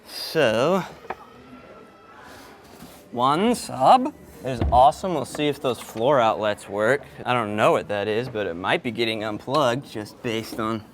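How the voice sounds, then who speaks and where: A man talks casually while setting up sound gear at an event venue. His running commentary is half muttered to himself, with exclamations. Clear background noise and clatter sit behind his voice. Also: mid-range voice, lively intonation, slow pace. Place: event venue during setup. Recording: noisy on-location vlog audio with clatter.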